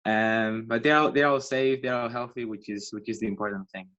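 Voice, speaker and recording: A young man from Spain with a Spanish accent, speaking English as a second language as a student guest in a casual podcast chat, with a halting way of talking and many restarts. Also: medium pitch, fairly even intonation, a bit bright, lo-fi sound. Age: young adult.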